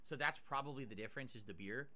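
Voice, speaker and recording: A man's voice: mid-range voice, quick pace, sharp tone. A man streaming live, chatting casually as he eats and reads out viewer tips and thanks. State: mouth burned, nasal drip. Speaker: livestreamer.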